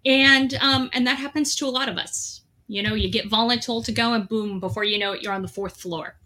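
A woman's voice: a little high, a little fast, pushed and bright. A middle-aged woman telling her own story in an earnest, reflective way, with a few light laughs. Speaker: guest, veteran. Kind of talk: candid personal storytelling.

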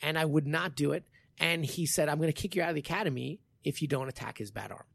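Gravelly-voiced man in his forties. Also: a little high, quick pace, fairly bright tone, no room sound, clean studio sound. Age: 40s. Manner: rambling, many restarts, modest. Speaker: podcast host and jiu-jitsu coach. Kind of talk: casual conversation.

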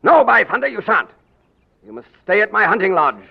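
An actor playing a dashing, courtly gentleman of royal looks in a theatrical 1940s radio drama. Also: sits high, very animated, brisk, heavily acted. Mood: excitable, hearty. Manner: theatrical, courtly, crisply spoken. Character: dashing gentleman adventurer and his excitable royal double. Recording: tinny, narrow old radio broadcast.

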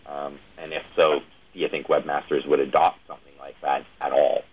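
A man's voice: a bass voice, level tone, a little fast, slightly rough. A man hosting a conversational interview, wordy and hedging as he winds up to long questions, on dull lo-fi audio. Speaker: interviewer, show host.

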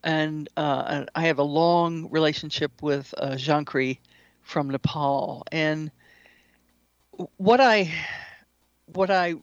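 A woman giving explanatory answers as an interview guest, in a measured, deliberate way with pauses. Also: deep voice, no room sound, muffled recording. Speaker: guest author and shamanic practitioner.

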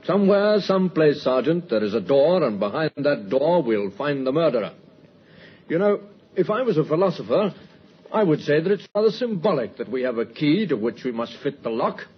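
Police inspector in an old radio play, dogged and measured, rough-edged voice, muffled sound. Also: male voice, on the high side, heavily acted. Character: dogged, methodical male police inspector, dryly reflective. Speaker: actor playing a detective. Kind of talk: old-time radio drama acting.

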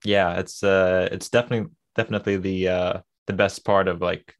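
A young man from Sweden with a light, Americanized Swedish accent, chatting casually as a podcast guest and pausing on many fillers. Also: deep voice, level tone. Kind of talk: casual chat.

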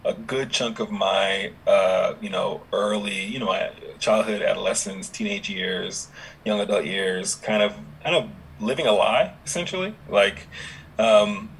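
Laid-back man, low voice, light hiss. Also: measured pace, vocal fry, rough recording. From Dominica, raised in Houston, Texas. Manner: relaxed, chatty, many fillers and laughs. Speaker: podcast guest, app founder. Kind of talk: casual interview conversation.

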